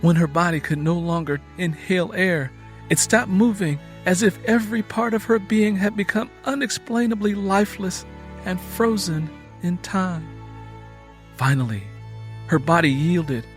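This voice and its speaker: A man's voice: high-pitched, measured pace, soft background music. A man reading a dramatic audiobook story as its narrator, in scripted prose.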